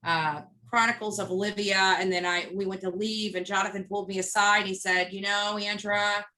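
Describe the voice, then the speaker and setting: A woman's voice: medium pitch, little pitch movement, normal speed, pushed and bright, low-quality recording. A woman being interviewed, telling a story casually in a blunt, emphatic way and acting out bits of dialogue as she narrates. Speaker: interview guest, street protester.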